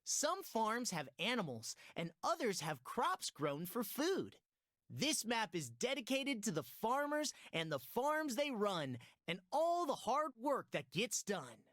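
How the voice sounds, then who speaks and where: A woman playing a lively, friendly young guide in a children's learning game, narrating in sing-song rhyme. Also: a little high, slow, no room sound, studio quality, heavily acted. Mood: cheerful. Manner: sing-song rhyming delivery. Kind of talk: scripted rhyming children's educational narration.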